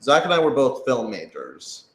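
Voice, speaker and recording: A man's voice: mid-range voice, huge pitch swings, moderate pace. A man chatting casually on a live stream, answering questions as one of a panel. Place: indoor room, live stream. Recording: echoey room.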